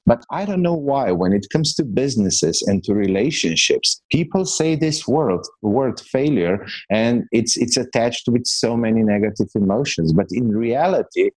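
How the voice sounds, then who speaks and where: A man's voice: medium pitch, lively intonation, moderate pace, hardly any pauses, a little mellow, no echo, low-quality recording. A middle-aged man from Slovenia, a guest in a podcast interview who talks earnestly and often restarts and repeats words. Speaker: guest, business coach.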